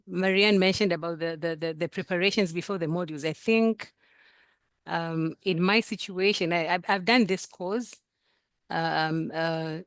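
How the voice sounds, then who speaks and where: Low-voiced woman, halting and earnest. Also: no room sound, clean studio sound. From Tanzania. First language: Swahili. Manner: earnest, halting, many repeated words. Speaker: coaching program participant. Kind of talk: sharing experience in a group video call.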